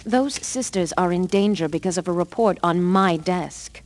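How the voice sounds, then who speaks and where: A woman's voice: fairly low pitch, normal speed, close to an everyday voice. A woman playing a determined, dutiful leader of a sorceress order in a fantasy video game, speaking in a resolute, terse way. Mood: resolute, impatient. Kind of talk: dramatic fantasy game acting.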